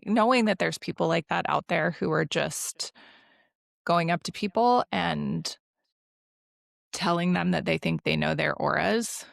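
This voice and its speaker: A woman co-hosting a podcast, chatting casually in a halting, slangy way full of likes and ums. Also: on the low side, vocal fry, no room sound, studio quality. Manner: halting, filler-heavy, slangy conversational delivery. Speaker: podcast co-host.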